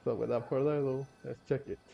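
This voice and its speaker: A man hosting a gaming video with casual commentary, halting as he searches for words. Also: mid-range voice, steady tone, gravelly, dark tone. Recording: dull, lo-fi microphone sound. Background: game music.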